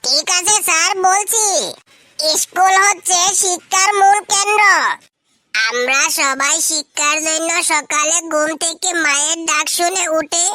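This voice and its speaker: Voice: very high voice, sharp tone, no room sound, clean studio sound, exaggerated voice. A performer voicing a cheeky, overconfident young schoolboy in a comedy cartoon, earnestly answering his teacher like a child. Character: cheeky young schoolboy pupil, dim but confident.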